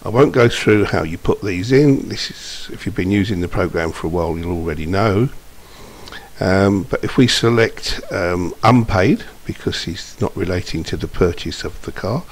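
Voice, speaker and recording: Man, low voice, informal screencast demonstrator, slightly hesitant, faint hiss behind. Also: normal speed. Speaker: software demonstrator. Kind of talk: informal screencast tutorial narration. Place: indoors, voice-over for screen recording. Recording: steady background hiss.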